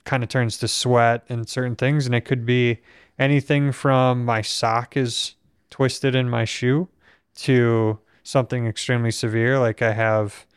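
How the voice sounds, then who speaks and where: A young man from Minnesota, hosting a casual conversation and speaking in a steady, reflective way, with a voice that is not very strong on breath. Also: mid-range voice, measured pace, clear, resonant tone, no echo, studio quality. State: weak breath support. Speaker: podcast host.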